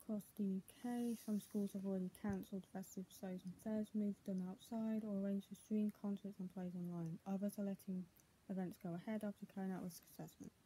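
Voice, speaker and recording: A young woman reads news text aloud with small stumbles. Her voice is very dark and soft-edged, at a middling pitch. The tablet recording, made in a small bedroom, carries clear noise. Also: steady tone, a little fast. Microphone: tablet's built-in mic at arm's length. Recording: clearly noisy, muffled home tablet recording.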